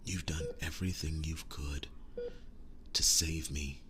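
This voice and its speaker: A man playing a devoted, tender werewolf boyfriend in an intimate ASMR roleplay, speaking softly and breathily, often dropping to a whisper, earnest and halting. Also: a bass voice, fairly even intonation. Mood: tender, solemn. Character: devoted, tender werewolf boyfriend sharing his soul with demon knight.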